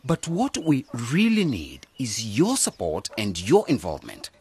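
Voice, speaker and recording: Young idealist in a radio play, earnest, bit husky, lively pitch. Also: male voice, a little slow, exaggerated voice. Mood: earnest persuasion. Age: young adult. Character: earnest, idealistic young man returning to his village. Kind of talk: radio drama acting.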